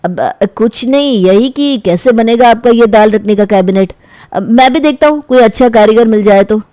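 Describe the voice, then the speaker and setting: A woman's voice: a little high, normal speed, mellow, no echo, natural voice. A woman voicing an earnest young man eager to marry, coaxing and reassuring in a storybook tale. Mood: hesitant, eager. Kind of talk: animated storybook dialogue.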